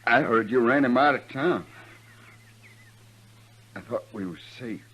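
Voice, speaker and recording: An actor playing a bitter, dying Western outlaw, weak and gasping, in a 1950s radio drama. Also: male voice, medium pitch, measured pace, fairly bright tone, husky. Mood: bitter, fading. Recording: old radio recording with faint hum.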